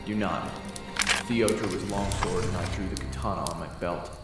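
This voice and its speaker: Low-voiced man narrating in an echoey room. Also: steady tone, moderate pace. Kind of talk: second-person roleplay narration. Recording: very echoey with music. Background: background music.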